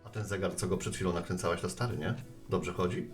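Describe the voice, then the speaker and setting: A man playing a friendly, hurried mail carrier in a radio play, chatting in a conversational way. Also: low voice, steady tone, soft background music, close to an everyday voice. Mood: relaxed, curious. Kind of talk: Polish radio play dialogue.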